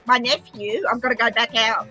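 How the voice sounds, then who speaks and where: A woman's voice: a little high, level tone, sharp tone, faint music behind. A woman joining a livestream as a viewer, friendly and polite in casual chat. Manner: friendly, polite, talks in quick bursts.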